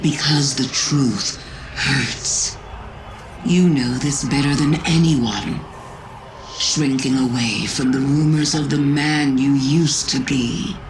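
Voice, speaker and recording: Slow, insinuating witch. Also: a bass voice, a little hiss or hum, low-quality recording. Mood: coaxing, wounded. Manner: smooth, insinuating, theatrical, deliberate. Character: silky, manipulative female witch, falsely kind. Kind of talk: villain acting in video game cutscene.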